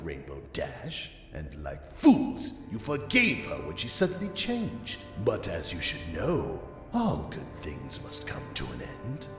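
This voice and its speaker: A man's voice: low voice, exaggerated voice. An actor playing a gloating, menacing demon king of darkness in a theatrical fantasy audio drama. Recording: reverberant echo with music behind.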